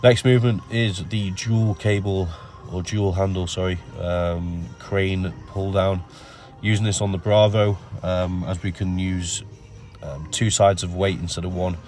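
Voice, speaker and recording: A man with a nasal voice from a cold, explaining his gym workout in a relaxed voice-over full of ums. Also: sits low, measured pace, hardly any pauses. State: has a cold. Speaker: bodybuilder narrating his workout. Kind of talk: casual voice-over commentary.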